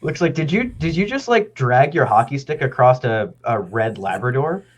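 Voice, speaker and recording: Animated joking man, dull lo-fi sound. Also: medium pitch, moderate pace. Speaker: podcast co-host. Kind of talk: casual chat.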